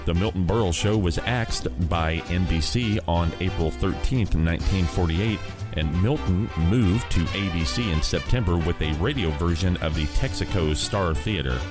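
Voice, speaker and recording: A man with a low, deep voice introduces old radio episodes at a steady, measured pace. Guitar music plays clearly behind him. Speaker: podcast host. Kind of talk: retro radio show hosting.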